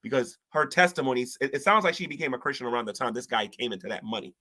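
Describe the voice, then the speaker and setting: A man's voice: medium pitch, quick pace, bright, forceful tone. A man giving casual, rambling commentary to camera, slangy and preachy, often talking about faith and spiritual warfare. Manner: rambling, colloquial with "ain't", many restarts; preachy, talks of gospel and spiritual war. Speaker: online commentator. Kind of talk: casual self-reflective commentary.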